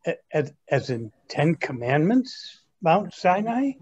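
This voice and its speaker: A man's voice: a little high, sing-song, slow, a little mellow, slightly rough, heavily acted. Man playing a skeptical teenage boy, swooping, muffled call. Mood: baffled, skeptical. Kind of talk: amateur reader's theatre dialogue over video call. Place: remote video call. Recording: dull, lo-fi video call audio.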